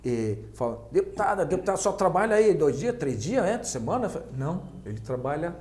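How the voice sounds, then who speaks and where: A man's voice: fairly high pitch, big pitch swings, brisk, a little mellow. A man hosting a casual, chatty conversational interview. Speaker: interviewer, political pre-candidate.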